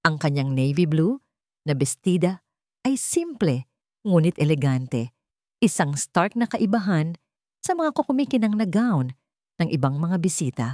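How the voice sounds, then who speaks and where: A Filipino woman narrates a dramatic story in a fairly low voice. Her pitch moves in lively swings as she delivers the script in measured phrases with pauses. The sound is dry and close, with little room in it. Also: dry recording.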